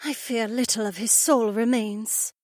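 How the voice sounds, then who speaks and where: A man voicing a gentle, soft-spoken young woman who talks softly and gravely. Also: very high-pitched, steady tone, unhurried, no room sound, clean studio sound, voice pushed up.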